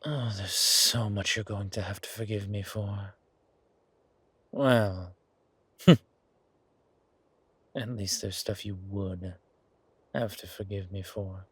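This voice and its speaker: A man playing a suave, menacing, hungry vampire in an ASMR horror roleplay, with a softly taunting, drawn-out delivery. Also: fairly low pitch, steady tone, breathy edge, no echo, heavily acted. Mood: seductive, mocking. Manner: slow, drawn-out, softly taunting, often whispering.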